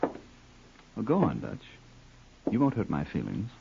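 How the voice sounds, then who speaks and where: An American actor playing a courteous, music-loving hired killer in an old radio crime drama, cool, mocking and dryly polite. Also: male voice, fairly low pitch, huge pitch swings, gravelly, full character voice. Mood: calm, menacing. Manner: unhurried, dryly polite, philosophizing. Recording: muffled, noisy old radio recording.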